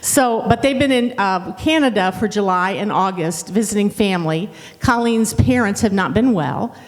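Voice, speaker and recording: An older woman from Ohio with a slight Southern twang and a strong, loud voice, speaking as a guest associate pastor in a chatty way. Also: medium pitch. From Ohio, USA. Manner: chatty and warm, with restarts and laughs. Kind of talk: guest church talk. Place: church. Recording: long reverb of a church hall.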